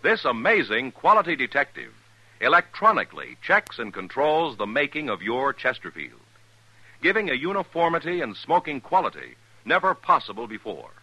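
A man's voice: big pitch swings, normal speed, pushed and bright, slightly rough, dry recording, poor audio. A man reading a polished, emphatic 1950s radio commercial as announcer. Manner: polished, emphatic sales pitch. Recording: dry 1950s radio recording.

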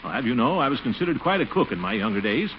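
An actor playing a pompous, flustered, soft-hearted middle-aged uncle in a 1940s radio comedy, exclaiming and blustering. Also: male voice, mid-range voice, very animated, fast talker, lightly acted. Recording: noisy, muffled 1940s radio recording.

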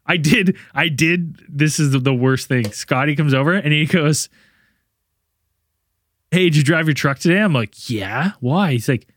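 A man's voice: a little high, unhurried, a bit bright, no room sound, clean studio sound. A man co-hosting a podcast, talking in a loose, rambling way and laughing often while he announces giveaways and tells stories. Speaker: podcast co-host. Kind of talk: casual chat.

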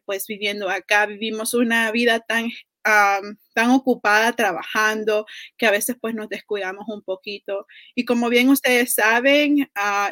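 A woman's voice: mid-range voice, a bit bright, no room sound. A woman from El Salvador with a Salvadoran accent, talking casually as an interview guest. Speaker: interview guest, community health worker.

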